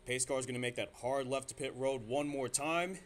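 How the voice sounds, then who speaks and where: A man giving play-by-play commentary on a simulated stock car race, getting excited at close moments and restarting sentences with fillers. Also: medium pitch, moderate pace, slight background noise. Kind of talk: amateur sim racing play-by-play commentary.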